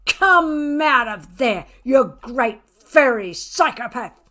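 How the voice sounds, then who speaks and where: A woman's voice: high-pitched, expressive, slow, pushed and bright, full character voice. A woman voicing a stern, exasperated father with dry sarcasm in a children's storybook. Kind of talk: children's storybook character reading.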